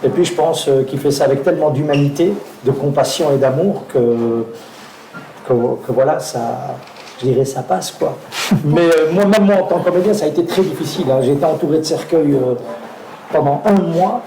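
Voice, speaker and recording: A man's voice: on the high side, moderate pace, warm tone. Male actor guest, hesitant anecdotal delivery, echoey live venue with faint noise. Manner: hesitant, many fillers and restarts, laughs while telling anecdotes. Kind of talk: live talk on stage, storytelling.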